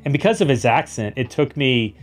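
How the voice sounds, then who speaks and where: A man's voice: mid-range voice, lively intonation, brisk, faint music behind. Rambling podcast host, a man. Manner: rambling with many restarts and repeats. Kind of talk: casual conversation.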